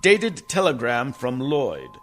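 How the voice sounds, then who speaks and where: An earnest young man narrates his journal in a gothic radio play, played by a male actor. He sounds anxious, with a mid-pitched voice that moves a lot over prominent music. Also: wildly swooping pitch, slow. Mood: tense.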